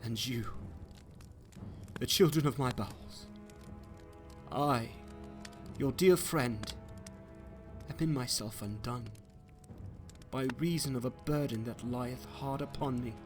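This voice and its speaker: A man's voice: medium pitch, slow, long pauses, faint music behind, close to an everyday voice. A man voicing a distressed, burdened man in rags who pleads and despairs in archaic language, in a dramatic story reading. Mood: anguished. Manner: anguished, pleading, archaic diction.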